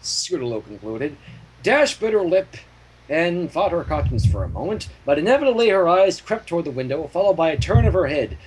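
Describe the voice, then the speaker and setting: A man's voice: a little high, darkish tone. A man reading fan fiction as a narrator with casual asides, on a slightly noisy home recording.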